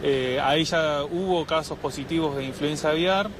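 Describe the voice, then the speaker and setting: A man's voice: fairly high pitch, a little fast. A man giving an explanatory interview statement as an official, recorded outdoors. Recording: noisy outdoor urban recording.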